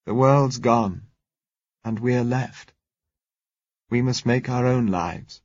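A spirited young woman, shaken yet quick to rally, speaks in clipped, brisk phrases in this audiobook reading, as a man puts her on with a raised voice. The tone stays slightly husky. The recording sounds dry and a little dull, like lo-fi. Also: no room sound. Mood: despairing. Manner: lifted pitch, brisk clipped delivery.

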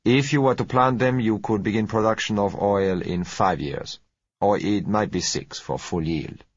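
Suave, courteous foreign dealer, read by a man; low, husky, measured delivery. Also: no room sound. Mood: smooth, measured. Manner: unhurried, gentle, courteous. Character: suave polite male foreign oil dealer. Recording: dull lo-fi audio.

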